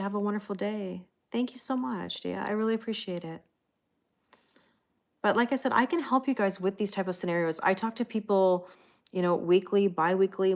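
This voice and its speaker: A middle-aged woman hosting a tarot livestream, chatting casually and thanking viewers by name. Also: medium pitch.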